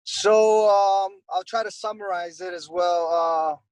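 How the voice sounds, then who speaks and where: A young man from Costa Rica chats easily as an interview guest, rambling with many fillers. His voice sits a little high for a man. The sound is dry and close. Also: steady tone, slow pace, a little mellow, no room sound, poor audio. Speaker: guest, airbrush artist. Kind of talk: casual interview chat.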